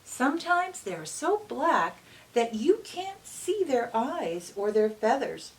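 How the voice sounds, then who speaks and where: Slow, expressive woman reading to children. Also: fairly high pitch. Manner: gentle teacherly phrasing, pausing to ask questions. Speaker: teacher reading a picture book aloud to children. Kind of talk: children's read-aloud with questions to young listeners. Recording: distant mic with faint hiss.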